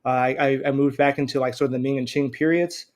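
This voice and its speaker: An American man in his thirties, a scholar answering interview questions in a friendly, measured way. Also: medium pitch, fairly even intonation. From United States. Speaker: guest scholar.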